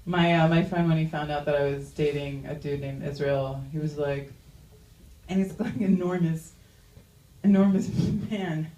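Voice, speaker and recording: A woman's voice: sits low, measured pace, mellow, echoey room, distant mic, lo-fi sound, a little hiss or hum. A young woman doing a stand-up comedy set in a chatty, self-mocking way, full of "like" asides. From Iranian-American. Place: comedy club stage.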